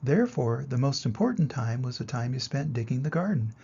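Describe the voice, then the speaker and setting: A wise old turtle from a storybook, voiced by a man reading aloud. He explains things patiently in a husky, creaky voice. The sound is slightly muffled. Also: mid-range voice, animated, brisk, mellow.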